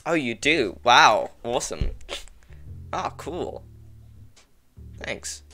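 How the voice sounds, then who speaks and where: A man's voice: medium pitch, big pitch swings, very slow, bright, forceful tone, soft background music. A young man from England chatting casually as a podcast guest over a video call, rambling and filling his talk with "like". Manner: rambling, heavy use of "like", frequent laughter. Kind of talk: casual chat.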